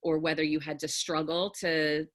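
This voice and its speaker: A woman theatre teacher with a low, authoritative register, explaining things thoughtfully in an online group discussion. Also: little pitch movement, normal speed. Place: video call.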